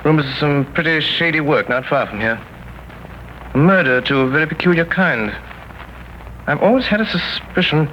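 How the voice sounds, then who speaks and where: A man acts out a shrewd gentleman detective in an old radio play. His voice sits a little high, with precise, urbane phrasing and courteous mockery. The broadcast is muffled, with a light layer of scratchy noise. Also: animated, moderate pace, pauses often, lightly acted. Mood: wry, probing. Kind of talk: 1930s radio drama acting.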